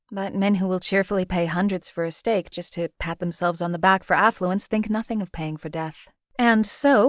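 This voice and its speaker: A woman voicing a cold, detached female assassin in a game, speaking in a measured, formal, matter-of-fact way. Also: fairly low pitch, no echo, studio quality, close to an everyday voice. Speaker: game companion character. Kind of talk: voiced game companion lines.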